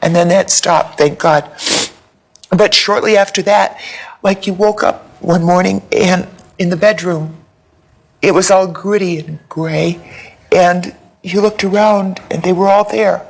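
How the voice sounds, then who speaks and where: A woman giving personal testimony in an interview, halting and reflective as she speaks. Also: on the low side, steady tone, vocal fry. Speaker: interviewee, abuse survivor.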